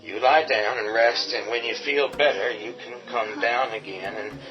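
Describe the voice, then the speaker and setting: A man's voice: medium pitch, fairly even intonation, moderate pace, natural voice. A man playing a tough, take-charge survivor in a radio horror drama, tense and commanding. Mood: reassuring. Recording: dull lo-fi audio with faint music.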